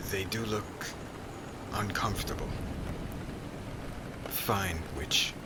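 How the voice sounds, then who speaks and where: A man playing a stern, cold witch hunter in an ASMR roleplay, speaking in a solemn, formal, menacing way that often drops to a hush. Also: low voice, very noisy. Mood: grudging, bargaining. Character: stern male witch hunter captor, cold, grudgingly admiring. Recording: heavy rain and thunder ambience behind. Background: rain and thunder sound effects.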